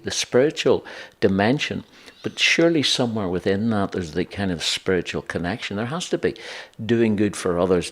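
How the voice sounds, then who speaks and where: An Irish man, co-host of a conversation, musing aloud and thinking through ideas with restarts. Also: on the low side. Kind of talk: reflective conversation.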